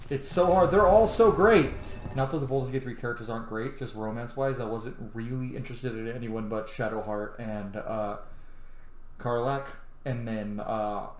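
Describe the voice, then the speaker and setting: A man's voice: mid-range voice, a little mellow. A man giving casual gameplay commentary, gushing and opinionated, swearing freely as he goes. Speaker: gamer commenting on a playthrough. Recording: roomy echo, faint background noise.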